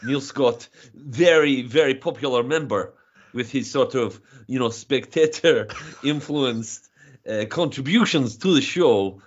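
A man voicing a boastful male Russian stand-up comedian, with a put-on Russian accent and broken, deadpan English. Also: mid-range voice, a little slow, husky, muffled recording.

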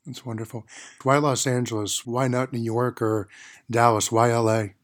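A male radio host with a medium-pitched voice. He asks interview questions and answers his guest with short affirmations. It sounds like a radio broadcast. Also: level tone, normal speed.

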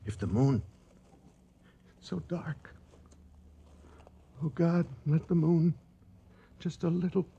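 A lone hunter in a radio play, worn out and gripped by fear. His delivery is extremely slow and broken, with wide swings of pitch over a faintly noisy recording. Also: animated, vocal fry, warm tone, dull audio, full character voice. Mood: fearful, stalked. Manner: halting, broken fragments, muttering repetition. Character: exhausted, terrified lone hunter stalking a lion at night. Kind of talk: dramatic radio monologue.

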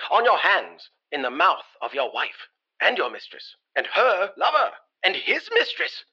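An actor playing a pompous old-time germophobic advice-giver in a theatrical comic radio monologue. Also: male voice, very high voice, full character voice. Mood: hysterical panic. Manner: theatrical, pompous, swinging into hysterical shouting. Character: pompous male 1918 germophobic advice-giver, unravelling into panic. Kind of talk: comedic radio-play monologue as a mock advice log. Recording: dull lo-fi sound, faint music behind.

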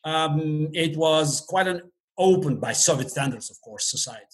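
A man is a guest in a casual interview. His voice sits a bit high, and he keeps stopping, restarting and filling gaps with little sounds. A dry humor runs under his answers. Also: lively intonation. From Azerbaijan. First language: Russian. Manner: halting, many fillers and restarts, dry humor.

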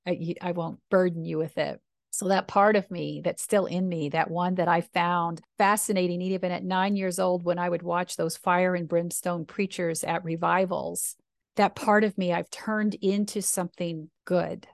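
A woman minister with a deep voice, speaking earnestly and reflectively in slow, deliberate phrases. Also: fairly even intonation, vocal fry, dry recording, studio quality. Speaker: minister and podcast host. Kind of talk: reflective personal talk.

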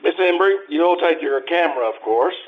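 A man's voice: on the high side, animated, moderate pace, phone-quality sound, natural voice. A man playing a pompous, bossy magazine publisher with a grand declaiming manner, in an old-time radio style. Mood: brisk, commanding.

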